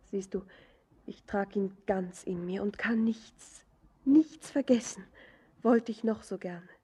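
An actress playing an anxious, lovesick young daughter in a theatrical German radio drama, breathy and often whispering, with fervent, halting, imploring delivery. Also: female voice, medium pitch, dark tone. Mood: haunted, brooding.

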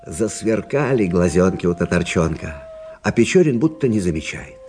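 An actor playing a plainspoken, rueful older army officer who recounts frontier tales conversationally in a radio play. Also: male voice, low voice, moderate pace, a little hiss or hum. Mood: storytelling. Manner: conversational, expressive, folksy. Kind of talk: dramatic storytelling in a radio play.

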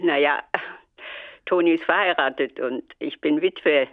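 An actress playing an elderly widow calling a radio show, coy, genteel and a little hesitant, in a radio drama. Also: female voice, sits low, unhurried, dry recording, phone-quality sound. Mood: prim, reserved. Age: older.

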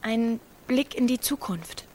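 A high-pitched teenage girl, troubled and needy. She sounds naive yet intense, in the manner of a radio play. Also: expressive, slow pace, darkish tone, a little hiss or hum. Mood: dreamy. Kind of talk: German radio drama acting.

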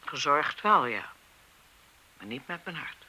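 An older, embittered schoolmistress in a radio play. Her voice is very bright and forceful, with animated swings of pitch. She lectures indignantly, like someone sure of her rules and weighed down by guilt. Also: unhurried, heavily acted, low-quality recording. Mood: guilty, bitter. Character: embittered retired schoolmistress, stern, righteous, haunted by guilt. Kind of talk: Dutch radio play acting.

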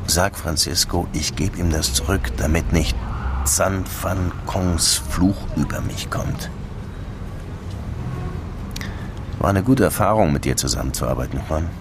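An actor playing a weary, confiding middle-aged police detective who also narrates a radio drama. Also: male voice, low-pitched, moderate pace, noisy background, natural voice. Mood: warm, reflective. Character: brooding, world-weary male police detective in Havana. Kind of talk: radio drama dialogue and first-person narration.

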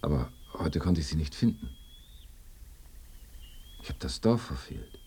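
Very deep, husky ghost of a horseman. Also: very animated, unhurried, warm tone, exaggerated voice. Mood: bewildered. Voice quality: husky, very low. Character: ghost of a lost young horseman, bewildered and weary. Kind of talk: binaural radio drama acting.

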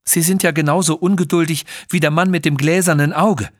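A man's voice: a little high, steady tone, a little fast, no room sound, studio quality, pitched above the performer's own voice, close to an everyday voice. A man voicing a cautious, honourable Tibetan Sherpa mountain guide who speaks formally and earnestly in an audio story. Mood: warning.

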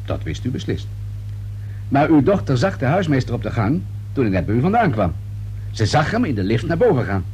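An actor playing a wry, persistent police inspector in a Dutch radio play, questioning hesitantly and insinuatingly. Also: male voice, mid-range voice, big pitch swings, fairly quick, darkish tone, close to an everyday voice. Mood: sceptical, pressing. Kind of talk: Dutch radio drama dialogue. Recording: noisy old radio play recording with hum.

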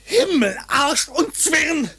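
A man's voice: extremely high pitch, sing-song, takes it slowly, pushed and bright, a little hiss or hum, exaggerated voice. An actor playing a pompous, bullying policeman who interrogates in mock-formal, indignant tones in a satirical radio play. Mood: outraged.

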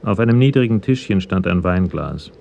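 A man's voice: low-pitched, animated, normal speed, gravelly, warm tone, dull audio, a little hiss or hum. A man narrating a scripted radio crime drama in a matter-of-fact manner.

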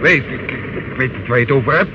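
An actor as an indignant crook, noisy recording. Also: male voice, medium pitch, fast, natural voice. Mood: indignant, defiant. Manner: defiant, scoffing. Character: indignant, cocky male crook under arrest. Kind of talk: radio play acting. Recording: muffled, noisy old radio recording.

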